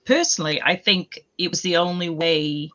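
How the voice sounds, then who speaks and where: A woman on a casual panel chat, relaying comments and giving a measured opinion. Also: fairly low pitch, fairly bright tone. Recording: dull lo-fi sound.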